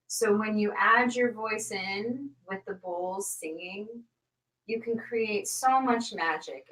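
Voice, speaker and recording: A woman leads a live online class at an unhurried pace, encouraging and filling pauses with ums and uhs. Her voice sits back from the mic in a roomy space, and it turns soft and slow when she guides. She is recorded in a home studio. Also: medium pitch, recorded from a distance. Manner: soft, slow guiding during the exercise. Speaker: sound healing teacher.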